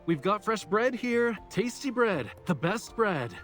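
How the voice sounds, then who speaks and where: A man's voice: sits high, lively intonation, measured pace, fairly bright tone, soft background music. An actor playing a young male love interest in a romance game, playful, casual and wry, with teasing delivery. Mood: coaxing.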